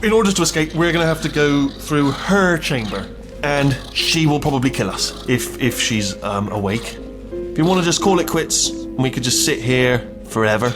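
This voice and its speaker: A man's voice: mid-range voice, normal speed, music in the background, close to an everyday voice, rough recording. A British man playing a nervous, chatty, bumbling little robot sidekick in a comic video game, rambling and stammering anxiously. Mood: chatty unease. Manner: rambling, stammering, self-correcting, anxious babble.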